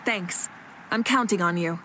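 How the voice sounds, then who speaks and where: A woman voicing a confident, warm-hearted young warrior leader in an anime video game, assured and playful. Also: fairly low pitch, a little slow, slight background noise, poor audio. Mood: friendly, playful.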